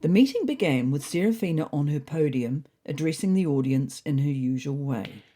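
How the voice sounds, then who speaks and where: A woman with a deep, mellow voice narrates scripted comedy fiction. Her delivery is dry and deadpan, told in the first person as a diary. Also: normal speed, a little hiss or hum.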